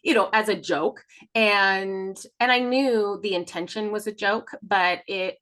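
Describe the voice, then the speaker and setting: A woman's voice: mid-range voice, measured pace. A woman in casual, confessional conversation as a podcast co-host, talkative and candid, often acting out dialogue. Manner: talkative, animated retelling with acted-out dialogue; candid, swears freely.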